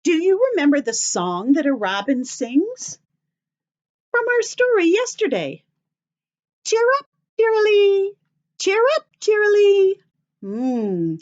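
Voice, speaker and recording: An American woman teacher reads a children's book aloud at a slow pace. Her voice swings widely in a sing-song way as she puts questions to young listeners. The recording is slightly dull and lo-fi. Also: extremely high pitch, wildly swooping pitch, darkish tone. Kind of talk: children's story read-aloud.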